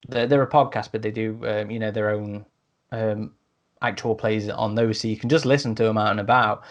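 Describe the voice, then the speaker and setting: A man's voice: on the low side, steady tone, fairly quick. Casual male podcast host. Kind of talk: casual podcast review chat.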